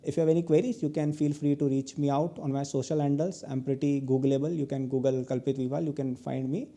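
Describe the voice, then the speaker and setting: A man's voice: mid-range voice, little pitch movement, quick pace, dark tone. A man in his twenties with an Indian English accent, giving a recorded online lecture as a guest entrepreneur, who ends many of his points with "okay?". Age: 20s. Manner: earnest advice, tags many lines with "okay?".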